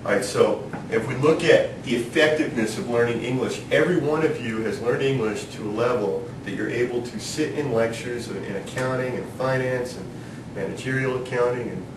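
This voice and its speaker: A man from New York leading a seminar in a classroom, talking informally, joking and asking the students questions. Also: moderate pace. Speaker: seminar leader. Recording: roomy classroom from a distance with background rumble.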